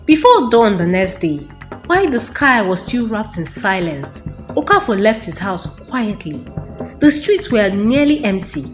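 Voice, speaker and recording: A woman narrating a folktale as a storyteller, in a dramatic, deliberate and suspenseful scripted style with pauses. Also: mid-range voice, big pitch swings, soft background music.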